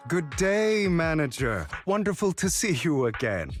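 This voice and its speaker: An actor voicing a courteous, polished, secretive gentleman in a video game, speaking formally and with flattering politeness. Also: a little high, measured pace, faint music behind. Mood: suave, polite. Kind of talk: scripted video game dialogue.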